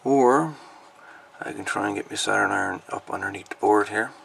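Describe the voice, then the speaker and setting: Gravelly, deep-voiced man. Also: a bit bright, slight background noise. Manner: unhurried, thinking aloud, folksy. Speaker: hobbyist radio repairer presenting a video. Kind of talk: casual hands-on repair narration.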